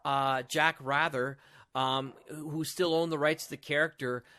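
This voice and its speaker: A man co-hosting a casual podcast discussion, telling stories in an earnest, halting way. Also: fairly high pitch, steady tone, bright, forceful tone, clean tone. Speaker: podcast co-host.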